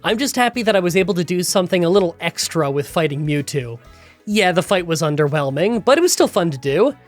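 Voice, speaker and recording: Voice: medium pitch, expressive, quick pace, faint music behind. Nasal, congested gaming YouTuber. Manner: chatty, rambling storytelling. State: congested from a cold. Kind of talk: game challenge voiceover recap. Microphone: close voiceover mic.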